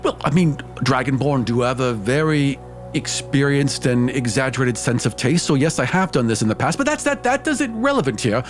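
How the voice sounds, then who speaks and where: A man's voice: medium pitch, very animated, normal speed, faint music behind, close to an everyday voice. A man voicing an earnest, pompous dragonborn scholar in a tabletop game, with a formal, indignant manner. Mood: fussy, defensive. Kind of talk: improvised tabletop roleplay.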